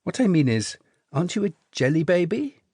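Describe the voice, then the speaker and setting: A woman's voice: fairly low pitch, big pitch swings, a little fast, warm tone, husky, dry recording, studio quality, voice pushed up. A man voicing a curious, polite girl who keeps asking puzzled questions.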